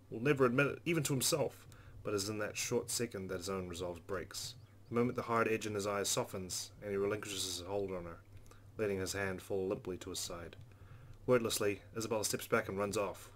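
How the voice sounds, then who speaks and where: A man's voice: lowish voice, fairly even intonation, brisk, a little hiss or hum. A man hosting a gameplay video, reading visual novel narration expressively and adding casual asides. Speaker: let's play host reading a visual novel aloud.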